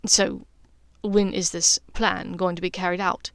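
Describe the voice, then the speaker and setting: A woman's voice: fairly low pitch, flat delivery, a bit bright, clean tone, no echo, clean studio sound. Woman voicing a grumbling, awkward young wizard. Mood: weary, reassuring. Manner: halting, begrudging, awkward.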